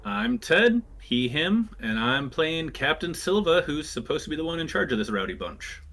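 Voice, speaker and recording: Bright, wry man at a game table. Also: fairly high pitch, very animated, normal speed, creaky. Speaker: player. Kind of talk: casual chat at a gaming table.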